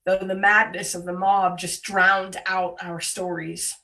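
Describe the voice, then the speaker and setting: Grieving female disciple read by a woman, devoted witness in a church drama, slow sorrowful delivery, set back from the mic. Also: on the low side, little pitch movement, sharp tone. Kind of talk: dramatic monologue reading in worship. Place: remote, on a Zoom call. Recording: distant microphone, video call audio.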